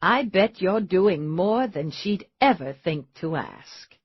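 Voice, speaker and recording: A cheerful middle-aged housewife with a sweet, folksy, hospitable manner, as a woman narrator plays her. The voice swoops lively up and down with a husky grain. It comes through a dull, dry, lo-fi recording. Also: fairly low pitch, takes it slowly, no room sound, exaggerated voice. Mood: sweetly folksy. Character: cheerful middle-aged wife and mother, secretly steely.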